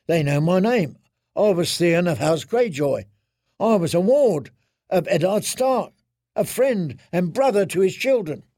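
A man's voice: sits high, expressive, a little slow, mellow, dry recording, clean studio sound, voice pushed up. A man voicing a broken, haunted young man who pleads in a tearful, trembling way. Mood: pleading. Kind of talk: audiobook character voice.

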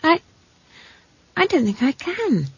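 A woman voicing an earnest, unsure young girl in a storybook, soft-spoken and hesitant. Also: sits high, very animated, slow, no room sound, dull audio, pitched above the performer's own voice, full character voice.

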